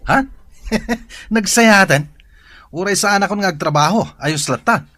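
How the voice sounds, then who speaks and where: An actor playing a gruff, scolding rural family man in a radio drama, with an Ilocano accent. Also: sits high, a little slow, sharp tone. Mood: pleading, defensive.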